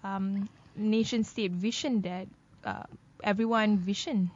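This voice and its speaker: A woman co-hosting a casual news commentary show, chatty and quick to laugh. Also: mid-range voice, steady tone, darkish tone. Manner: chatty, laughs often, many fillers. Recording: dull lo-fi podcast audio.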